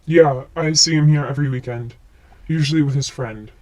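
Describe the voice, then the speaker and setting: Young actor as forthcoming male bartender, fairly high voice, radio drama dialogue. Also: brisk, darkish tone, close to an everyday voice, rough recording. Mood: matter-of-fact. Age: young adult.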